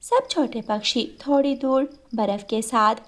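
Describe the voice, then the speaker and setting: Fairly high-voiced woman narrating children's stories. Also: animated, unhurried. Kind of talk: scripted children's story narration.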